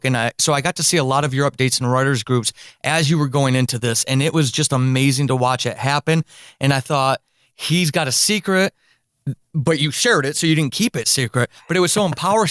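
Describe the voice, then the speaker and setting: A man with a slightly higher-set voice hosts an interview podcast. He talks effusively and leans on his words with emphasis. The sound is dry, with no room on it. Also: fairly bright tone, dry recording. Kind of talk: enthusiastic podcast interview talk.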